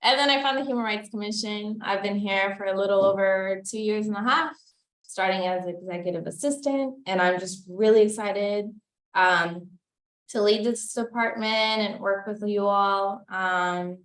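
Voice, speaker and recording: An echoey room carries the voice of a young woman from Greece, medium in pitch. She introduces herself at a public meeting in an informal, lighthearted way. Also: normal speed, a bit bright. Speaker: executive director of a city commission.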